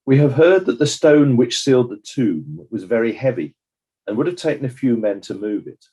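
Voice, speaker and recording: A man's voice: medium pitch, very animated, dark tone, husky. A man playing a stern, sceptical coroner who questions witnesses formally in a dramatized inquest. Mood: sceptical, probing. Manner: formal, measured, probing. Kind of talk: scripted courtroom drama.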